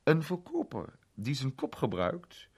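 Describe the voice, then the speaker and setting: A man's voice: medium pitch, wildly swooping pitch, measured pace, husky, pitched above the performer's own voice, full character voice. A man voicing a cheerful, methodical male salesman turned amateur detective who likes quoting mottos. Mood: precise. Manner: precise, fond of quoting mottos. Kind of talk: radio play reading.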